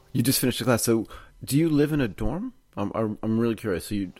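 A man's voice: mid-range voice, expressive, brisk, a little mellow, husky, no room sound. A middle-aged man hosting a conversational podcast interview. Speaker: podcast host.

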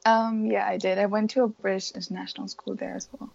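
A woman's voice: medium pitch, little pitch movement, fast, creaky, darkish tone, dull audio. A young woman from Thailand, a university student chatting casually as an interview guest. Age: young adult.

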